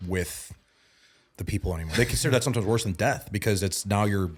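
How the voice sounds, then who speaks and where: A man in his thirties talking as a guest on a casual podcast. He sounds earnest, repeats himself and restarts a lot, and grows emphatic and louder when he starts preaching. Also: lowish voice, fast, a little hiss or hum. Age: 30s. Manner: repeats words and restarts often. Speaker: guest, bodybuilding and mindset coach.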